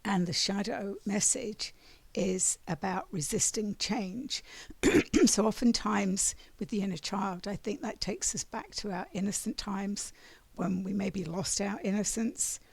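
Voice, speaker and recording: A raspy-voiced woman giving a spiritual monthly oracle card reading, meandering and often clearing her throat. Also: mid-range voice, measured pace, no room sound.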